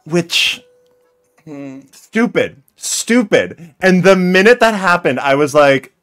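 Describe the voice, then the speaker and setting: A man's voice: high-pitched, slow pace. A young man from the United States chatting on a live stream while gaming, telling stories and reading chat aloud from a small home room. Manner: chatty, animated storytelling, reads chat aloud. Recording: faint game music behind.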